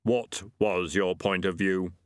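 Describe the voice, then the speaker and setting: A man voicing Death, a calm, skeletal male reaper who speaks in a patient, measured way. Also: low-pitched, clean tone, no room sound, clean studio sound.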